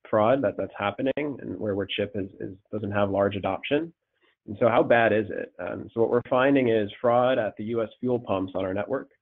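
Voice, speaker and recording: A man with a cold presenting a webinar on fraud as a risk manager, halting and repeating words, with many ums. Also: on the low side. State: congested with a cold. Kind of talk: slide presentation over a call. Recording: tinny phone-line audio.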